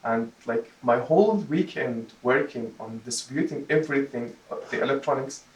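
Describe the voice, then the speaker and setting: A man from Jordan giving a prepared personal speech to a club, speaking haltingly and repeating words. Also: medium pitch. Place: meeting room, recorded from a distance. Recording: roomy echo, distant microphone.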